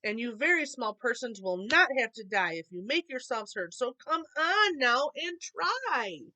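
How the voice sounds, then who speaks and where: A woman's voice: fairly high pitch, very animated, a little slow, pushed and bright, exaggerated voice, low-quality recording. A woman playing a kind, earnest male elephant from a storybook, pleading and urgent. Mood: urgent, encouraging. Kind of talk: storybook character voice.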